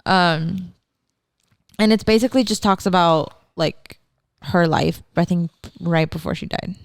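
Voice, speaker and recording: A woman's voice: lowish voice, a little slow. A young woman with a Texan accent, chatting as a podcast co-host, hesitating with "um" and restarts. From Texas. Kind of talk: casual chat.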